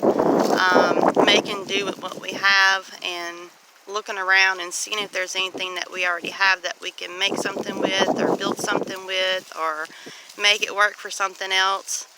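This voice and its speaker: A woman's voice: medium pitch, level tone, moderate pace. A woman with a Southern US accent chatting in a folksy, casual way as she records a walk-and-talk homestead vlog outdoors. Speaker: homestead vlogger. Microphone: camera microphone. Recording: breezy outdoor wind and ambient noise.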